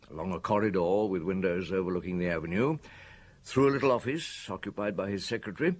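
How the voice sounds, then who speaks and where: A low, husky-voiced police chief inspector in a radio drama. He is shrewd and wryly amused, moving between dialogue and measured narration, with lively swings in his intonation. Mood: reflective narrating. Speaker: actor in the lead role.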